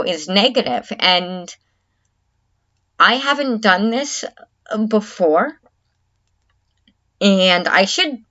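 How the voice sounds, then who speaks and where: A woman's voice: lowish voice, sharp tone. A woman vlogger talking candidly to camera, earnest and reflective, often stopping and restarting her sentences. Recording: dull lo-fi recording.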